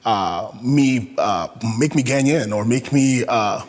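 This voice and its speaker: A man's voice: medium pitch, lively intonation, takes it slowly. A young man from Canada talking as an interview guest, reflective and hesitant, with many fillers and restarts. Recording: echoey room with long reverb.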